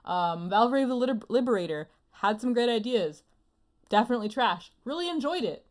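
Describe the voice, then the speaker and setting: A woman's voice: fairly high pitch, expressive, fairly quick. A woman co-hosting a casual comedic anime podcast in an informal, self-deprecating way. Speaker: podcast co-host.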